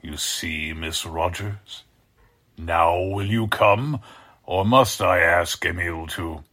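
An actor playing a deranged, sinister scientist with a husky voice, over-polite and menacing, in the style of 1940s radio drama. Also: male voice, low-pitched, takes it slowly, sharp tone, full character voice. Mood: calm menace. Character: deranged male scientist, sinister, courtly, gloating captor.

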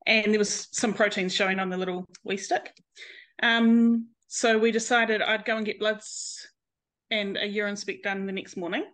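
A woman's voice: mid-range voice, level tone, moderate pace, a bit bright. Frank New Zealand woman in her late thirties. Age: late 30s. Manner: open, frank, laughs easily. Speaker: guest, nurse and mother. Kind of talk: personal story in interview.

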